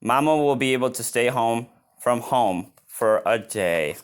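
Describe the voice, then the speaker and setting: A man voicing a caring, steady father bear in a children's storybook, in a reassuring manner. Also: mid-range voice, a little slow, smooth, natural voice.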